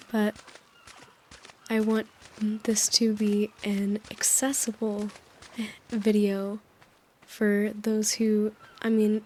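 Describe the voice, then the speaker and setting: A woman hosting a sleep-aid video, rambling gently over gameplay, her voice slightly hoarse as it recovers. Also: mid-range voice. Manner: gentle, soothing, meandering with small laughs. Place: voice-over recorded apart from the game footage.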